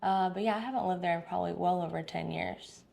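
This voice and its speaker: A woman's voice: fairly low pitch, fairly even intonation, quick pace, gravelly, dark tone. A woman in her thirties from Texas, a salon owner answering interview questions in a chatty, upbeat way with plenty of fillers. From Dallas-Fort Worth, Texas. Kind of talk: casual interview.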